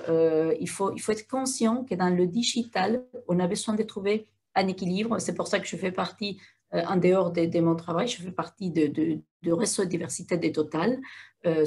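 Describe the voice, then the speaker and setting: A middle-aged woman talks in a husky, breathy voice that sits low. She answers as a guest in front of an audience, earnest and full of hesitations. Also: a little mellow. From Argentina. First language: Spanish. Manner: earnest, many hesitations and restarts. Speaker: guest speaker. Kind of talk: live interview talk to an audience.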